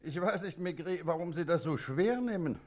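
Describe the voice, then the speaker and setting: An actor in a 1960s German radio play, playing a sceptical but indulgent police chief with a measured manner. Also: male voice, on the high side, lively intonation, close to an everyday voice.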